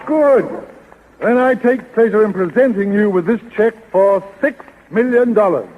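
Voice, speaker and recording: A hollow, reverberant old radio recording of a formal, businesslike gentleman of the law. His voice is very high for a man and somewhat husky, with stiff 1930s radio delivery. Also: measured pace, phone-quality sound. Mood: formal, pleased. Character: formal, businesslike male lawyer Mister Grant presenting an inheritance check.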